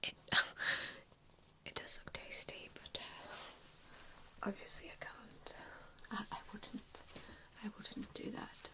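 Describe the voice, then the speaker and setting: A woman whispers her way through an ASMR roleplay as a gentle, centuries-old vampire mentor. She takes her time, speaking softly and hesitantly. The manner is soothing and reassuring. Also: slow, pauses often.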